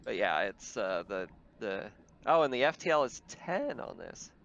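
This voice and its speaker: A man's voice: fairly low pitch, sing-song, a little slow, creaky, a bit bright, lo-fi sound. A man chatting casually as game master of a tabletop roleplaying game.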